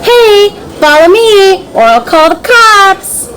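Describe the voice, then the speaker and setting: Woman voicing an excitable, high-pitched young boy. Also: takes it slowly, noisy background, full character voice. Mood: defiant. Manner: exclaiming, emphatic. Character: excitable, cheeky young boy, loud and bold. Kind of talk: children's storybook read-aloud.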